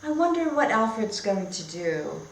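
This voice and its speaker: A woman reads a children's story aloud as a teacher, at a slow and careful pace. She keeps her phrases short and simple and often turns to questions for her listeners. The room is echoey, with noticeable background noise. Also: mid-range voice, sing-song. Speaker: teacher demonstrating read-aloud techniques. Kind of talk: storybook read-aloud lesson for young learners.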